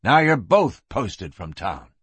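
A man's voice: medium pitch, big pitch swings, takes it slowly, a bit bright, husky, no room sound, full character voice. A man voicing a stern, unyielding lawman in an audiobook Western, giving curt, commanding orders.

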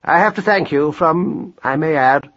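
A man's voice: fairly high pitch, a little slow, a bit bright, lo-fi sound. A man voicing a pompous, long-suffering father mouse with formal, grandiloquent and precise speech. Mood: solemn, grateful.

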